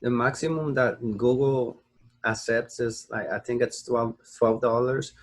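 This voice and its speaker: A man's voice: medium pitch, little pitch movement, unhurried. A young man from the United States explains a screen-recorded tutorial, rambling through fillers and restarts and checking in with "okay?" as he goes. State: sleepy. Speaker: online marketing tutor.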